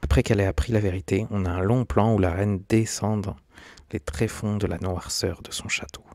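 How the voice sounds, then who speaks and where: A man's voice: lowish voice, fairly even intonation, brisk, a little mellow, dry recording, studio quality. A man giving scripted, documentary-style narration as a video essayist.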